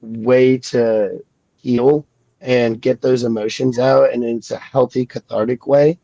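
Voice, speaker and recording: A man talking slowly and reflectively in a casual podcast chat, with many fillers and restarts, sniffling from a cold. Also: mid-range voice, level tone, creaky, warm tone, dry recording, dull audio. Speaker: guest comic creator.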